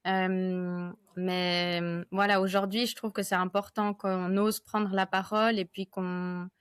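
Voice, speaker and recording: Flat-voiced woman in her thirties. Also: medium pitch, clean tone, no echo, studio quality. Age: 30s. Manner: measured, with fillers. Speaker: guest, association co-founder. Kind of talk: casual podcast interview.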